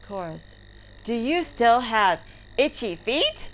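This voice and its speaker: A woman narrating a story lesson for English learners, reading deliberately word by word. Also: fairly high pitch, very animated. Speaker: teacher narrator. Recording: heavy background noise, clicks.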